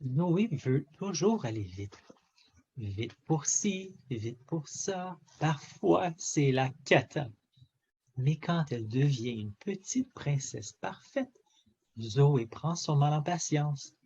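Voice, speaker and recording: Homme conteur très animé, au débit posé. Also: male voice, a little high, slow, slightly rough, highs cut off. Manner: animated, theatrical delivery with emphatic phrasing. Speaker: storybook reader. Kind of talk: expressive children's story narration in French.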